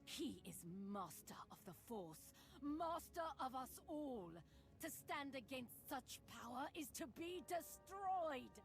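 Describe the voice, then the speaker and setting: High-voiced fanatical dark cultist. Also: female voice, lively intonation, takes it slowly, faint music behind, full character voice. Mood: zealous menace. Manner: imperious, declamatory. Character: fanatical female dark cultist, defiant and scornful. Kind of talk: video game cutscene acting.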